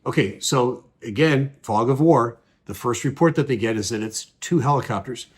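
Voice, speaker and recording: A man, a pilot, calmly explains air traffic radio calls in measured commentary with frequent pauses. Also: mid-range voice, slightly rough.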